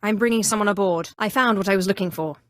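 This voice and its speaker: Woman acting an imperious, coolly menacing dark sorceress. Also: mid-range voice, fast, no room sound, studio quality, natural voice. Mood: haughty, suspicious. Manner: commanding, haughty, controlled, theatrical. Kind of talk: scripted video game voice acting.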